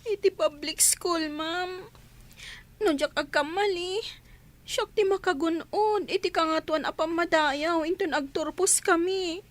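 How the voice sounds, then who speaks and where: A woman playing a frightened young schoolgirl who pleads haltingly and respectfully in an Ilocano radio drama. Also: extremely high pitch. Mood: timid pleading.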